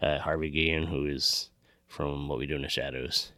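A man's voice: extremely low pitch, level tone, moderate pace, sharp tone, no room sound, studio quality. A man co-hosting a casual chat about films, talking informally and swearing freely. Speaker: podcast co-host.

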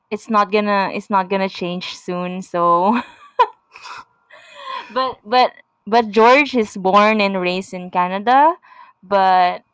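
A woman's voice: mid-range voice, fairly bright tone, clear, resonant tone, no room sound, low-quality recording. A young woman from the Philippines with a Filipino accent, co-hosting a casual couple podcast, giggly and repeating words as she starts a thought. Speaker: podcast co-host.

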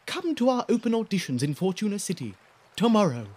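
A man narrating an audio drama like a wry storybook teller, measured and theatrical. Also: sits high, big pitch swings. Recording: very loud music bed behind.

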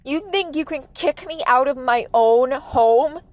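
A woman's voice: high-pitched, measured pace, a little mellow, dry recording. A woman voicing an angry, contemptuous, abusive father in a dramatic fan fiction reading. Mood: menacing, accusing. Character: furious, menacing abusive father, a man with fire powers.

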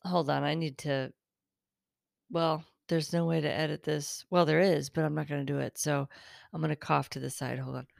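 A woman hosting her own improvised podcast, talking in a chatty, rambling way, her voice raspy and tired, partly lost. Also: deep voice, steady tone, moderate pace, dry recording, clean studio sound. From Northern California. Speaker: podcast host. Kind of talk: improvised solo talk. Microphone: close mic.

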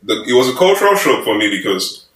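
A man, a student guest, telling a casual story in an animated way with laughs. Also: mid-range voice, quick pace. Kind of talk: casual panel chat. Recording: echoey room, mic at a distance.

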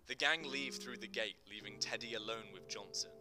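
A man's voice: fairly low pitch, moderate pace, over music. A male actor giving scripted, dramatic narration of a screenplay in terse stage directions.

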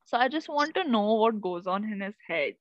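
A woman's voice: mid-range voice, lively intonation, brisk, no room sound. A young woman chatting casually, filling her talk with "like" and "you know" as she leads the questions. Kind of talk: casual chat. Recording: dull lo-fi sound.

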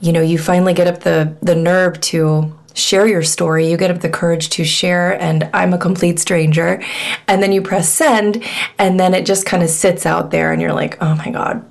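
A woman's voice: lowish voice, steady tone, normal speed, vocal fry. A woman hosting her own podcast with a head cold, so her voice is nasal and congested. She talks in an earnest, rambling way, full of fillers. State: has a cold. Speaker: podcast host. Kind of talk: casual solo podcast talk.

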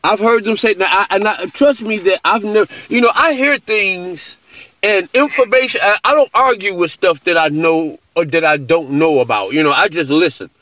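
A man in a conversational religious discussion, talking haltingly and repeating his phrases. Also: high voice, bright, forceful tone, no echo. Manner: halting, repeats phrases, often checks with "you know". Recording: dull, lo-fi call audio.

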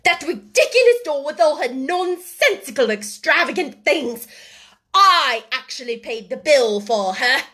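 A woman voicing a haughty, domineering schoolmistress in an audiobook, sharp-tempered, scolding and imperious. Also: high voice, very animated, measured pace, pitched above the performer's own voice, full character voice. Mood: outraged. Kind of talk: audiobook character acting.

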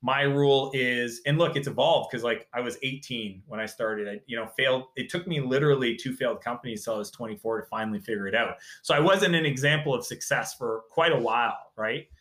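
Animated man, bright echoey voice. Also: mid-range voice, fairly quick, hardly any pauses. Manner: animated, emphatic storytelling. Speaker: guest. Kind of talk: casual interview talk.

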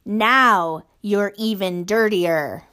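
A woman reading aloud and voicing a sinister, mocking giant man who drawls his words slowly. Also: high-pitched, bright, forceful tone, full character voice. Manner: slow, drawn-out, taunting.

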